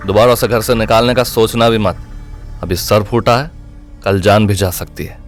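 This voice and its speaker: A man's voice: fairly low pitch, normal speed, lightly acted. A man playing a cocky, scheming young student leader. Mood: menacing. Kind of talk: Hindi audio drama acting. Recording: music bed behind the voice.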